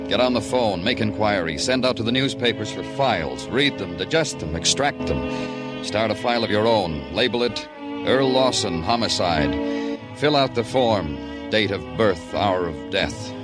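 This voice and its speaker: An actor playing a world-weary police lieutenant who narrates a 1940s radio crime drama in terse, deadpan phrases. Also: male voice, on the low side, moderate pace, loud music behind the voice, lightly acted.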